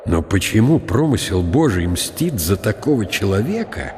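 An actor playing a proud, ironic field marshal of eighty, musing slowly and deliberately in a radio drama. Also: male voice, fairly low pitch, sing-song, darkish tone, a little hiss or hum. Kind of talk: radio drama inner monologue. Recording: long reverberant hall sound.

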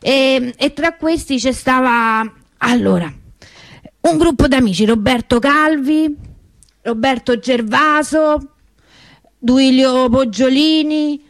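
Actress as a Roman woman street vendor, wry and resigned, strong local accent, conversational stage monologue, halting storytelling, dry close recording. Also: sits high, dry recording. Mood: wry, confiding. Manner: conversational, hesitant, peppered with tag questions. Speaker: actor in a theatre monologue.

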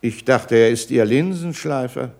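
An actor playing a smug, pompous court philosopher in a 1960s German radio play, with florid, ceremonious phrasing. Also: male voice, medium pitch, measured pace, vocal fry, darkish tone. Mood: condescending.

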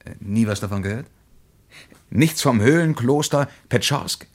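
An actor playing an eager, insistent German traveller in a radio play, speaking with impassioned emphasis. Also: male voice, mid-range voice, expressive, a little slow. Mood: urgent, exasperated.

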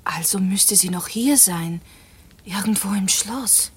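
A young gentlewoman in a radio drama. Her voice is medium in pitch and noticeably breathy. She is dutiful and earnest, always quietly questioning. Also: takes it slowly. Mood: inquisitive, uneasy. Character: young woman, ailing dutiful daughter, inquisitive.

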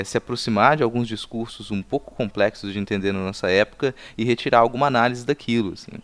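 Brazilian male podcast host: low, clean resonant voice, thoughtful and halting, conversational questioning. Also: a bit bright, clear, resonant tone, dry recording. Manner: halting, repeats words while forming long thoughts. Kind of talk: conversational, reflective interview questioning.

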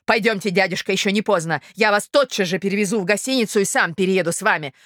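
A woman voicing an anxious young gentleman, an earnest and insistent nephew, in a literary audiobook. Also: medium pitch, quick pace, no echo, clean studio sound, close to an everyday voice.

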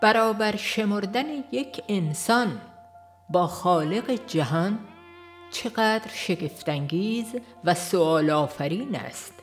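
A woman reading scripted audiobook narration in a measured, formal manner. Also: medium pitch, animated, faint music behind. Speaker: audiobook reader.

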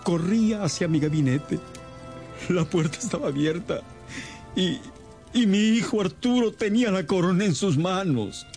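Casting: agitated middle-aged gentleman father, radio drama, mellow mid-range voice, music underneath. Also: sits high, animated, normal speed. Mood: anguished narrating. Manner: agitated, earnest. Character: distressed respectable older father, a Victorian gentleman. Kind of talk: Spanish-language radio drama acting.

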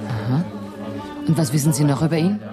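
An observant police assistant with a lowish voice. She is cool and composed, asking and remarking with dry curiosity. Music plays clearly behind the radio-play dialogue. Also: animated, brisk. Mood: curious. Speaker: actress in a crime radio play. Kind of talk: 1980s German crime radio drama acting.

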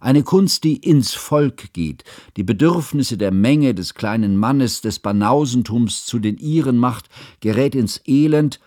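A man's voice: mid-range voice, lively intonation, a little slow, hardly any pauses, darkish tone, natural voice. An actor playing a devoted, cultivated humanist who narrates a literary radio drama about his friend in a formal, reflective way. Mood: pained, earnest.

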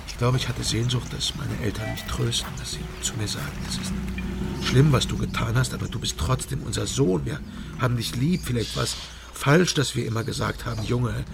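An actor playing a brooding East German investigator in a radio crime drama, speaking in a measured, introspective way. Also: male voice, mid-range voice, creaky, very noisy. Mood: grieving, confessional. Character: brooding East German former criminology student, narrating investigator. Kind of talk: radio crime drama acting and first-person narration.

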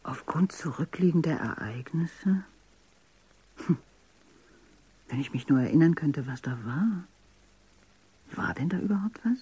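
Low, husky, musing woman. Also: animated, a little slow, long pauses, mellow, full character voice. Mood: musing, puzzled. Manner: musing, talking to herself. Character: puzzled, self-doubting professional woman in a dystopia. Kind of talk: intimate radio drama acting.

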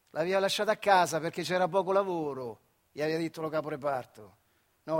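A man with a central Italian accent from the Marche, telling a story as a colloquial theatrical monologue with conversational asides. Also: on the high side. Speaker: storyteller, stage actor.

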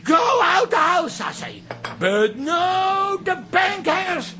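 Shrill, slow-shouting Dutch woman. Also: sing-song, exaggerated voice. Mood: exasperated, shouting. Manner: shouting, slow, mixing Dutch and broken English. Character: exasperated loud mother complaining in broken Dutch-English. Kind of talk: radio play acting.

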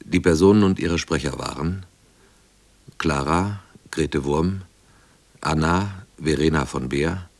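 Extrem tiefe, langsame männliche Ansagerstimme. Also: male voice, extremely low pitch. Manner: formal, measured phrasing. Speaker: radio announcer. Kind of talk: scripted closing credits announcement.

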